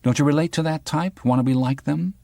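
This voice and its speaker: A man reading aloud and voicing a sharp-tongued young woman reporter who fires pointed, needling questions. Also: fairly high pitch, brisk, gravelly, no room sound, studio quality, voice pushed up. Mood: probing.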